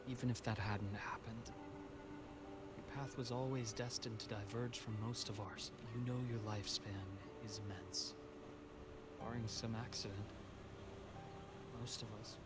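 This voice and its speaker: A man voicing a gentle, reflective doctor in a video game story, speaking in a measured, reassuring and philosophical way. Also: on the low side, steady tone, lightly acted. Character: gentle, reflective male doctor consoling an old friend. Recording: loud music behind the voice. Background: loud game music.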